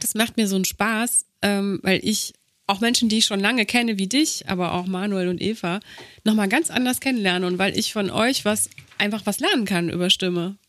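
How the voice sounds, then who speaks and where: A woman's voice: medium pitch, fairly bright tone, no echo. A woman, a native speaker of both German and English, hosting a podcast and speaking as a trained voice actress. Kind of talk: podcast hosting, scripted intro and casual chat.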